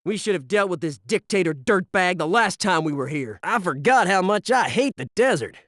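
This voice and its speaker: An actor playing a brash, wisecracking American military commando in a video game, with clipped, gung-ho tactical talk and wry slang. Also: male voice, high-pitched, lively intonation, moderate pace, fairly bright tone, dry recording, clean studio sound, distant mic. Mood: griping, gung-ho. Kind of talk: video game voice acting, tactical battlefield barks.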